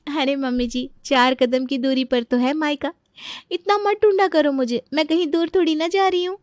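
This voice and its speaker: An actress playing a carefree young daughter-in-law, wheedling and childlike, in a comic family story. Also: female voice, sits high, moderate pace, dry recording, studio quality, lightly acted. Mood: breezy, playful. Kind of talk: animated family comedy story acting.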